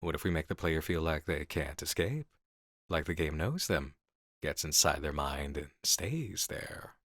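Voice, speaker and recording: A man playing an intense, seductive horror game designer in an intimate ASMR roleplay, speaking in deliberate, drawn-out phrases on a close mic. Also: very deep voice, animated, fairly bright tone, no room sound, heavily acted. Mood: dark, persuasive. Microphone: close studio mic.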